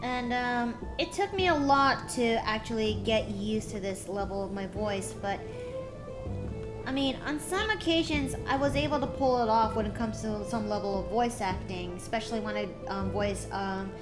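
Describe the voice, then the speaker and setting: A woman's voice: fairly high pitch, normal speed, rough recording. A young woman streamer chatting casually, rambling with many restarts and fillers. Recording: music playing behind.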